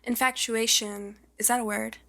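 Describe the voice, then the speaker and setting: A young woman co-hosting a podcast in a casual, gossipy chat, animated and slangy, swearing freely. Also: on the high side, moderate pace, vocal fry. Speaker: podcast co-host.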